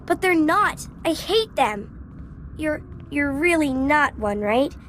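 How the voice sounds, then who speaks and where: A woman voicing a frightened, pleading child in a video game, timid and halting in her delivery. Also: high voice, animated, a bit bright, a little hiss or hum, full character voice. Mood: timid, scared. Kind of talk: video game character acting.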